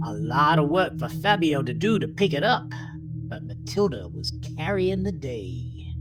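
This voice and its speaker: A woman narrating a horror story in a deliberate, theatrical manner with dramatic pauses. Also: sits low. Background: music bed.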